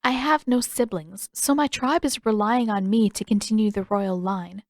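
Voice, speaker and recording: A woman voicing a gentle, regretful teenage princess in an audiobook, with soft, careful, polite phrasing. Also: mid-range voice, normal speed, no echo, clean studio sound, natural voice. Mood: sad, earnest. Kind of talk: audiobook character dialogue.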